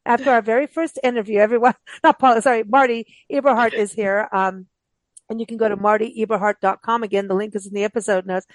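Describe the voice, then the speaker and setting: A woman's voice: fairly high pitch, fairly even intonation, fast, dry recording, rough recording. A woman hosting a books show, talking in a casual, rambling, informal way as she interviews guests. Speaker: host of a books radio show.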